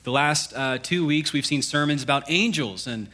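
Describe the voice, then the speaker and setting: A man in his thirties, a pastor, reading scripture and then preaching in a relaxed, joking, conversational way with fillers. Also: on the high side, normal speed. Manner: self-deprecating jokes, conversational with fillers. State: congested.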